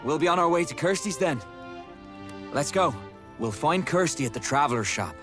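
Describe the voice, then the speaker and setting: A man's voice: a little high, expressive, normal speed, close to an everyday voice. A man playing a loyal, helpful mercenary in a fantasy video game cutscene. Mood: confident, helpful. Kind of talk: English game cutscene voice acting. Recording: music behind the voice.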